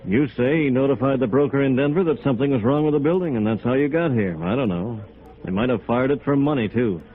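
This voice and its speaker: An American actor playing a bitter, resigned architect in a 1950s radio noir, terse and sardonic. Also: male voice, mid-range voice, quick pace, phone-quality sound, natural voice. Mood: bitter, indignant. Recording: band-limited vintage radio recording.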